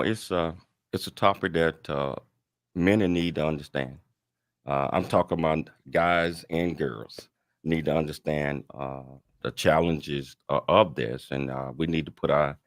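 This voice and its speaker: Very deep-voiced man hosting, halting. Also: no room sound, studio quality. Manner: halting, many fillers. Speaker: talk show host. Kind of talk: hosting an interview.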